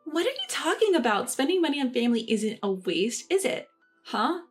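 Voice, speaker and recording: A woman's voice: sits high, sing-song, fairly quick, husky, faint music behind, pitched above the performer's own voice, heavily acted. A woman voicing an entitled, snide sister-in-law who talks down to others. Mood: needling. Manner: condescending, needling.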